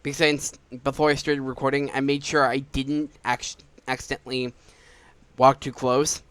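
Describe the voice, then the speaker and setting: A man's voice: mid-range voice, level tone, moderate pace, fairly bright tone, smooth, no room sound. A young adult man hosting a gaming video with casual, conversational commentary.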